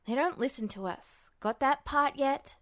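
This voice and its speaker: A woman's voice: high-pitched, unhurried, fairly bright tone. A woman voicing an exhausted, guarded younger male patient in an audiobook story, curt, bitter and dismissive.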